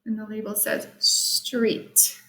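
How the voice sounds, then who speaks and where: A woman's voice: medium pitch, flat delivery, slow pace, creaky, bright, forceful tone, reverberant, rough recording. A woman teaching young children to read, patiently spelling and explaining in a sing-song teacherly way with careful, emphatic enunciation. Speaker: early-grade reading teacher. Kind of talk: sight-word lesson and picture book read-aloud for young children.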